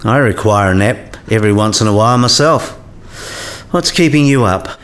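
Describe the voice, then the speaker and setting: A man's voice: lowish voice, moderate pace, fairly bright tone, lightly acted. An actor playing a disillusioned but easygoing senior male professor, frank and world-weary, in a radio play.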